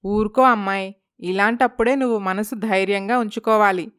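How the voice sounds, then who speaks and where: A woman voicing a kindly, consoling motherly neighbour in an animated moral story. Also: medium pitch, normal speed, smooth, dry recording, studio quality, lightly acted.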